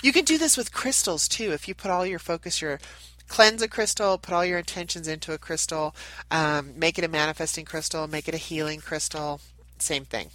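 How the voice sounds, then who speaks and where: A woman's voice: low-pitched, fairly quick, a bit bright, no echo, rough recording. A woman with a nasal voice, getting over a head cold, giving a rambling spiritual talk as a channel host and card reader. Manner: meandering, chatty, with many restarts. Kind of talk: casual spiritual talk to camera.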